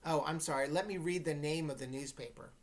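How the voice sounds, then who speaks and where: A woman teacher giving an informal read-aloud lesson, explaining things in an unhurried way and checking that listeners follow. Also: low-pitched. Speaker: English teacher reading aloud to students.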